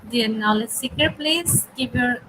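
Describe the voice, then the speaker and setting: A woman moderating an online seminar, reading out audience questions in a polite, unhurried way; English is not her first language. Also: on the high side. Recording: noisy online call audio.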